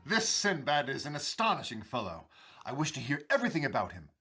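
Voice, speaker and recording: A man playing a capricious, excitable Sultan in a radio drama, husky, imperious and exclamatory. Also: mid-range voice, fairly quick, over loud music. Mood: excited curiosity. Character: capricious, excitable male Sultan, eager for stories.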